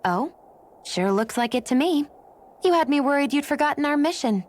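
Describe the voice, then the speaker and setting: Curt, sardonic young warrior. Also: female voice, sits high, lively intonation, normal speed, darkish tone. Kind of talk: video game voice acting.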